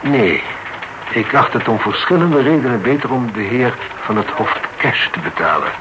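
An actor playing a self-important, evasive client in a Dutch radio drama, with a formal, slightly pompous manner. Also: male voice, medium pitch, sing-song, moderate pace, natural voice. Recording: noisy old radio drama recording.

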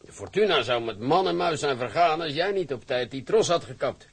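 A man's voice: on the high side, lively intonation, a little fast, vocal fry, slightly rough, full character voice. An actor playing a fatherly shipping company director who speaks measuredly and kindly, with hesitant fillers.

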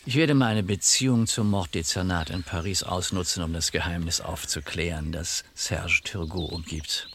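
An actor playing a discreet, resigned French police commissioner in a German radio crime drama, speaking formally, with measured courtesy and a husky voice. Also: male voice, sits low, fairly bright tone, a little hiss or hum. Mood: businesslike. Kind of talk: German radio play acting.

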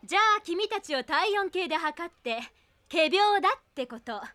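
A high, swooping female voice for a coquettish school nurse. She mixes playful authority with teasing in audio drama style. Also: expressive, normal speed, a bit bright.

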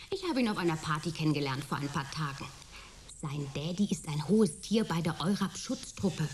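A woman's voice: sits low, darkish tone, slight background noise. A woman playing a spirited young adventurer in a sci-fi radio drama, gushing, teasing and insistent. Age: young adult. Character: spirited, enthusiastic, headstrong young woman, detective's friend.

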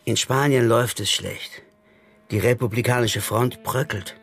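An actor playing a jaded, defiant anarchist stage magician in a German radio crime drama, talking colloquially and chummily with a theatrical streak. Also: male voice, mid-range voice, fairly even intonation, measured pace, fairly bright tone, slightly rough. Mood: earnest, bitter. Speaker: supporting actor.

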